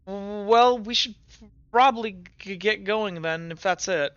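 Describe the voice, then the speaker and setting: A game master voicing a nervous teenage boy companion who stutters and trips over his words, in somewhat higher tones than their own voice. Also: measured pace, fairly bright tone, clean tone, dry recording, muffled recording, natural voice. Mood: hesitant. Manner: stutters and hesitates.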